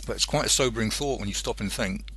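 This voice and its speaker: A man hosting an internet radio show in a casual, rambling monologue, halting and hesitant as he thinks aloud. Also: fairly low pitch, fairly bright tone, slightly rough.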